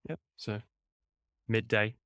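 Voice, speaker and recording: A man's voice: lowish voice, takes it slowly, a bit bright, clean tone, no room sound, clean studio sound. A man with an English accent, from near Cambridge, hosting a casual podcast interview in a friendly, teasing way over a video call. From England, near Cambridge. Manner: friendly, teasing, self-mocking. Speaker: podcast host.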